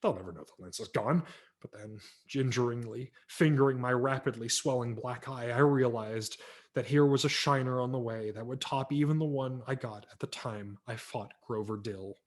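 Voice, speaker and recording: A man's voice: mid-range voice, normal speed. A man, a professional audiobook narrator, giving a vivid dramatic reading of a humorous memoir over a video call. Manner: vivid, expressive storytelling.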